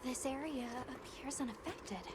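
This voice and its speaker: A woman's voice: high-pitched, moderate pace, heavy background noise, lightly acted. An actress playing an earnest, well-spoken young female expedition leader in a video game cutscene. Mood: tense, subdued.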